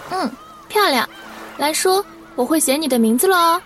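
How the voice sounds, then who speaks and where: A woman's voice: high-pitched, animated, normal speed, a bit bright. A woman playing a sweet, playful young woman with a teasing, girlish manner in a Chinese costume radio drama. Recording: distant voice, music behind.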